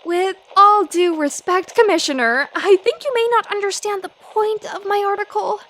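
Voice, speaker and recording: A woman's voice: very high voice, a bit bright. An actress playing a feisty, easily flustered young woman reporter in a 1940s-style radio drama. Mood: earnest, firm. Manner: brash, quick to get flustered. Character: feisty young female newspaper reporter, flustered but defiant. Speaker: reporter filling in as radio host.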